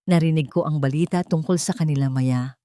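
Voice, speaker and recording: Kind male colleague voiced by a woman, Filipino accent, calm and soft-spoken, low pitch, audio-story dialogue. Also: brisk, no echo, clean studio sound, close to an everyday voice. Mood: gentle concern. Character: kind, gentle male law-office colleague and admirer.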